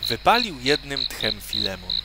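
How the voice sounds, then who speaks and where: Animated man telling a children's story. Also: medium pitch, noisy background. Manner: theatrical, suspenseful emphasis with pauses. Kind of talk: scripted children's story narration.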